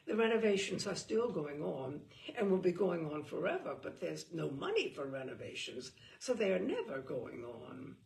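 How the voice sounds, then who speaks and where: A woman's voice: fairly low pitch, very animated, normal speed, vocal fry, few pauses, a little mellow. A woman giving an arch, theatrical, mock-serious reading of a humorous book. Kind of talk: theatrical reading aloud of comic prose.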